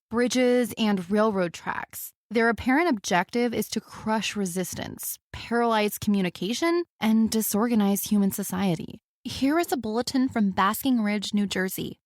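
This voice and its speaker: A woman's voice: medium pitch, no room sound, studio quality, close to an everyday voice. A woman playing a serious radio news announcer in a radio drama, reading bulletins in a formal, clipped manner.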